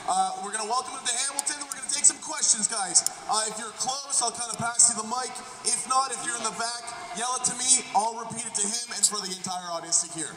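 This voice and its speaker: A man moderating a convention panel, shouting instructions to the crowd in a large hall. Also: extremely high pitch, fast. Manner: loud, shouting to reach the back of the room. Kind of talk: announcing to a crowd. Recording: echoey hall from far off, loud crowd.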